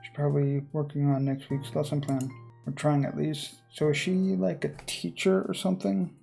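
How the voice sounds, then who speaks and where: A person reading visual novel narration aloud, breaking off for informal, halting commentary. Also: mid-range voice, flat delivery, gravelly, mellow, faint music behind.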